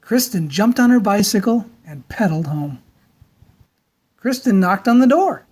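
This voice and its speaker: Animated woman reading a children's story. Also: medium pitch, breathy edge. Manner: theatrical, deliberate emphasis. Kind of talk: dramatic storybook read-aloud.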